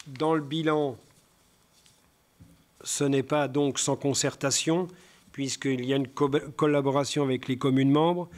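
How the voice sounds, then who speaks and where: A man speaking as a local councillor, giving a formal, partly improvised presentation of a report in a halting way, often searching for words. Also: mid-range voice, a little mellow. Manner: halting, hesitant delivery with frequent searching for words.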